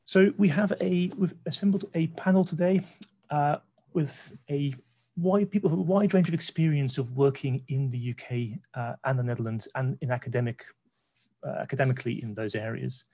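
A man's voice: medium pitch, moderate pace, dark tone. A man in his forties politely moderating an academic webinar panel on a video call, with frequent hesitations.

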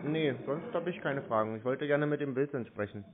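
A man's voice: mid-range voice, a little fast, a little hiss or hum. A man chatting casually on the phone in a laconic, stubbornly insistent way. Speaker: prank callee baiting phone scammers. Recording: muffled narrowband phone line.

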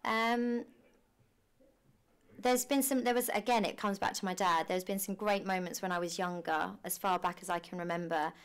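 A woman's voice: lowish voice, steady tone, moderate pace, clean tone. A woman in her twenties chatting casually as a theatre performer interviewed backstage in a costume room. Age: 20s. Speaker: pantomime cast member interviewed.

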